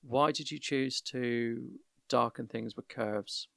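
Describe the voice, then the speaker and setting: A British man with a gravelly, creaky voice gives a measured, constructive critique of a photograph. He sounds dry and close-miked. He is talking over a voice call in a casual podcast chat. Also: mid-range voice, fairly bright tone, no echo.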